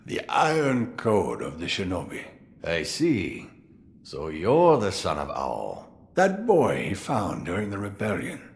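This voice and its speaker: Game role: jovial old samurai grandfather, low voice, big swings in intonation, sly and theatrical. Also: wildly swooping pitch, exaggerated voice. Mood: wry, amused. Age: older. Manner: theatrical, amused, drawn-out musing delivery. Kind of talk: dramatic video game voice acting.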